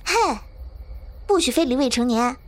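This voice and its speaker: A woman acting a kind, brave young survivor in a zombie apocalypse audio drama, earnest and expressive. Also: high-pitched, moderate pace, a little hiss or hum. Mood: friendly.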